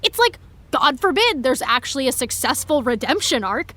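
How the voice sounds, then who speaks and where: A woman's voice: sits high, big pitch swings, moderate pace, sharp tone, heavily acted. An actress playing an upset, indignant young female fan in an audio drama, emphatic and sarcastic with her friend. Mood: indignant rant. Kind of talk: naturalistic audio drama acting.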